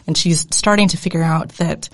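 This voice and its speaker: A woman guest on a casual podcast, explaining things in a thoughtful, steady way. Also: deep voice, a little fast. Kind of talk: casual chat.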